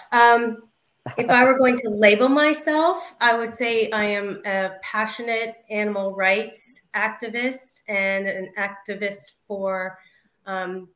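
A woman's voice: mid-range voice, a bit bright, roomy sound. A woman in her fifties speaking as a panel guest, earnest and reflective as she talks about her work and her values. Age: 50s. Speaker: guest, activist. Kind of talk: panel discussion.

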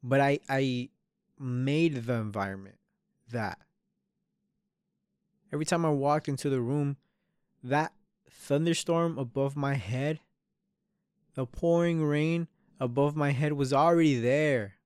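Young guy in his twenties podcasting alone, open and sweary, lots of restarts, smooth clean voice, dry close sound. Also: medium pitch, slow pace, pauses often, darkish tone, no echo. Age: 20s. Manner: candid, swears often, trails off and restarts. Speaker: podcast host. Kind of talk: solo reflective podcast monologue.

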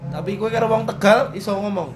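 A man's voice: high voice, quick pace, over music. Young man hosting a podcast. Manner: casual, probing questions, mixing languages. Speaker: podcast host. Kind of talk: casual interview chat.

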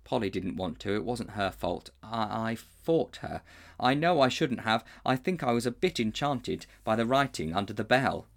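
A man's voice: expressive, moderate pace. A man voicing a guilty, frightened young boy who stammers in a raised voice. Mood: anxious. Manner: anxious, stammering, raised pitch.

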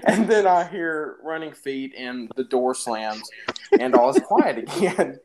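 A man's voice: mid-range voice, expressive, a little slow, darkish tone, poor audio. A young man casually telling an anecdote in an informal, jokey, exaggerating way. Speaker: storyteller in a podcast-style phone chat.

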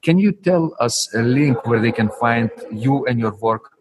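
A man's voice: mid-range voice, expressive, unhurried, background noise. A man hosting an interview, asking questions with frequent fillers and encouraging remarks. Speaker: interviewer, host.

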